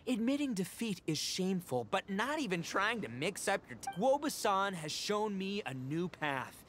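A man's voice: sits high, very animated, normal speed, fairly bright tone, soft background music. A man voicing a brash, dramatic young male oni gang boss with a bombastic, slangy delivery in a video game. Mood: determined.

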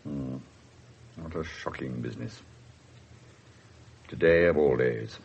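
An actor playing a stiff, formal senior English police official in a radio drama. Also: male voice, very deep voice, slow, creaky, darkish tone, slightly rough, exaggerated voice. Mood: grave, shocked. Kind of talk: 1970s British radio drama acting. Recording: muffled 1970s radio broadcast.

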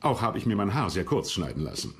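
A man's voice: lowish voice, sing-song, fast talker. An actor playing a regal, self-assured English king in a historical docudrama, speaking formally and theatrically. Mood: storytelling, self-satisfied. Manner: formal, stately, theatrical phrasing. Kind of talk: German historical docudrama acting.

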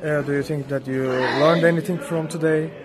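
A man's voice: fairly high pitch, fairly even intonation, moderate pace. A man, a non-native English speaker, asking questions as an interviewer. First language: not English. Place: busy indoor school space. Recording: chatter around the voice.

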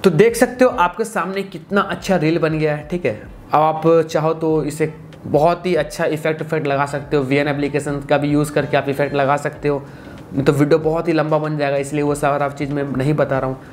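A man's voice: on the high side, steady tone, a little fast, a little hiss or hum. A man giving a casual, instructional phone-app tutorial, often repeating stock phrases. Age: 20s. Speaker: tutorial presenter. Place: small indoor room.

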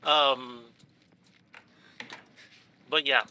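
A man's voice: mid-range voice, fairly even intonation, very slow, pushed and bright, slight background noise. A man with a stuffed, runny nose giving casual commentary over his game footage, rambling along with many ums and restarts. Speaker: gaming YouTuber. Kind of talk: casual let's play commentary.

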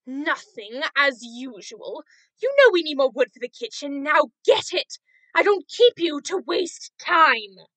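A woman voicing a cruel, bossy innkeeper's wife who scolds in a shrill voice in a radio drama. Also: big pitch swings, a little slow, fairly bright tone, no echo, exaggerated voice, low-quality recording. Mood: scolding, impatient.

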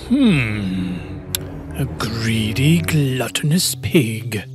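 Cartoon wolf villain, sneering, gloating, very slow, lively pitch, light music behind. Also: male voice. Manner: sneering, gloating, low menacing laugh. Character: sly hungry grey male wolf villain. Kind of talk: cartoon voice acting.

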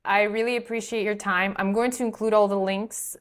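Young woman host from moldova, chatty, says like a lot, mid pitch. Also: little pitch movement, brisk. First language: Russian. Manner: chatty, frequent likes and you-knows. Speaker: podcast host. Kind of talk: casual interview chat. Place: bright room with large window.

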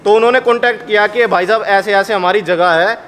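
High-voiced young man, echoey recording. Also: fast, fairly bright tone. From India. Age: 20s. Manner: chatty, colloquial, explains step by step. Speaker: host of a haunted-location exploration vlog. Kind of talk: casual explanatory vlog talk to camera. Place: large derelict factory and overgrown grounds at night. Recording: echoey derelict building with clear background noise.

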